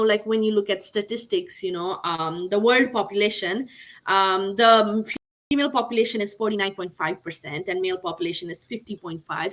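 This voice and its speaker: A woman's voice: a little high, a bit bright. A young woman from Nepal on a video call, answering panel questions earnestly with many fillers like "like" and "you know". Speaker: MBA graduate panelist.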